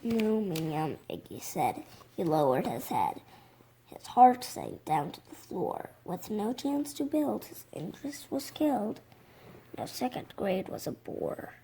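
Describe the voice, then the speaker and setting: Young child reading slowly, lively pitch swings. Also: slight background noise. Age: young child, six. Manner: halting, stumbling over words. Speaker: reader. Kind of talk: reading a picture book aloud.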